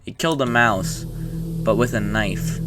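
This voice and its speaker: Casual man reacting over loud music. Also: on the low side, a little slow. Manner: informal, exclaiming, stumbling over read text. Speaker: reaction video host reading on-screen text aloud. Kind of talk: casual reaction commentary with read-aloud horror story.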